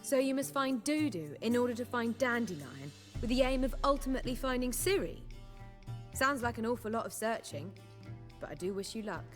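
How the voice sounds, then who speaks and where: A woman playing an expressive, anxious friend in a fantasy video game, with formal, articulate phrasing. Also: a little high, brisk, soft background music, close to an everyday voice. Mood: doubtful. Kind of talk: video game cutscene acting.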